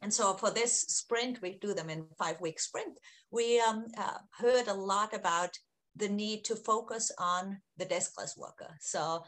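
A woman's voice: mid-range voice, a little slow, a bit bright, low-quality recording. A middle-aged woman from Austria with an Austrian German accent, a guest on a podcast interview who explains things in a friendly way. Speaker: research executive guest.

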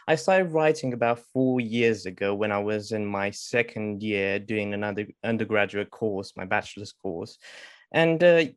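A man's voice: fairly low pitch, moderate pace, no room sound, studio quality. A young man, a guest author talking casually and rambling from point to point. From Romania. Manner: rambling, jumps between points. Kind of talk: casual interview talk.

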